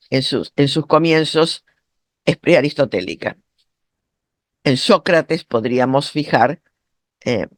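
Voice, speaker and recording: A man giving an academic seminar lecture in a halting, didactic way, his throat sore from allergy. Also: medium pitch, no echo, studio quality. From Argentina. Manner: didactic, halting, often rephrasing and checking understanding. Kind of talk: academic lecture, spoken off the cuff.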